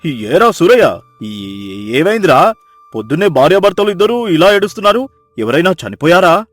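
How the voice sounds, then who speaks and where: A man's voice: sits high, big pitch swings, normal speed, dry recording, faint music behind. A man voicing a greedy, pompous, bossy city brother-in-law in a moral story. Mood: puzzled, bossy.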